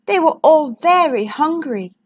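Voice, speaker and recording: High, lively, unhurried woman storyteller. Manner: expressive, deliberate storytelling. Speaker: children's storyteller, channel host. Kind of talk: read-aloud storybook narration for young children.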